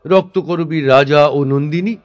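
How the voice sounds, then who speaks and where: A man's voice: on the high side, a little slow, natural voice. A man acting a proud, teasing, somewhat prickly male stage performer in a theatrical audio drama, full of wry questions. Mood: wry, irritated. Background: instrumental background music.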